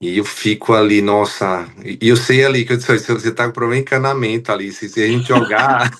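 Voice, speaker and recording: A man with a fairly low voice, speaking as a doctor in a live question-and-answer session. He recounts a patient's case in an animated, chatty way, full of quick asides. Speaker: doctor asking the host. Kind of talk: live online Q&A.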